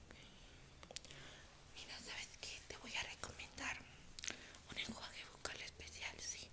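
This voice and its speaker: A young woman in a whispered ASMR roleplay as a dentist, breathy and close to the mic, reassuring and unhurried. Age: young adult. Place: small indoor room.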